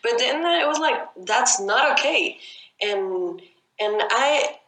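Young woman, native Spanish speaker, loud rapid excitable delivery, roomy echo. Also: fairly high pitch, background noise. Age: young adult. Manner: loud, fast and excitable, often shouting. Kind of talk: casual conversation. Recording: echoey room.

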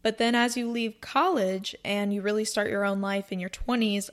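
A woman's voice: medium pitch, fairly even intonation, normal speed. A woman in her twenties hosting a casual solo podcast, chatting in a rambling, upbeat way from a cozy room. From North Carolina, US. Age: 20s. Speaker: podcast host.

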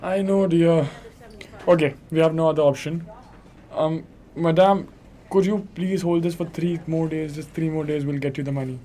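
A man's voice: on the high side, steady tone, moderate pace, mellow, a little hiss or hum, lightly acted. A young man playing a loving but exasperated, practical husband in an amateur radio drama, earnest and plain-spoken. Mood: resigned, polite. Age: young adult.

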